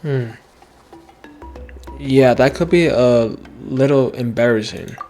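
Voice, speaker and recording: A calm quiet young traveler in a game, really slow and gentle, little music under it. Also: mid-range voice. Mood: mild. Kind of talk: video game dialogue acting.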